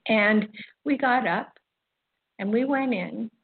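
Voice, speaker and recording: An older woman hosting an online spiritual radio program, speaking in a reflective, informal monologue with halting, deliberate phrasing. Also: on the high side, gravelly, husky. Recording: dull, phone-like internet radio audio. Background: music.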